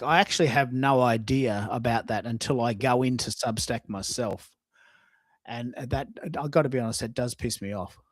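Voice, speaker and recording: A man hosting a live online audio show, chatting casually and thinking aloud in a halting way, often repeating himself as he addresses listeners. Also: mid-range voice, no echo.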